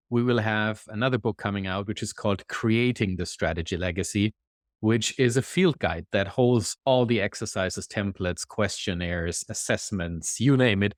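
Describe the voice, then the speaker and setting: A man with a German accent, a podcast guest, explaining his work in a thoughtful, measured way. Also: fairly low pitch, no room sound, studio quality. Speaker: guest, executive consultant. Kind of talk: conversational interview.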